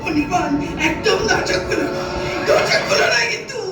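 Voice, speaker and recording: An actress playing a frightened young wife in a horror audio drama, urgent and pleading. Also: female voice, extremely high pitch, expressive, fairly quick. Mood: fearful. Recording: echoey, distant, under loud music.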